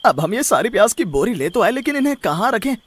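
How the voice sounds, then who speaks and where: A man's voice: sits high, big pitch swings, fast, slight background noise. A man playing a greedy, irritable, boastful onion seller in a cartoon story, speaking emphatically and repeating himself. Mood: conspiratorial. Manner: exaggerated, emphatic, repeats words. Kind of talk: animated moral story acting.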